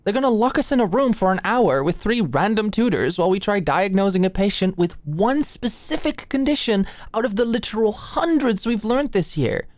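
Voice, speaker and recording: A woman's voice: mid-range voice, lively intonation, normal speed, hardly any pauses, a little mellow, dry recording, natural voice. A young woman acting an anxious, flustered medical student and study buddy in an ASMR roleplay, rambling and stammering apologetically. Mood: nervous, stressed.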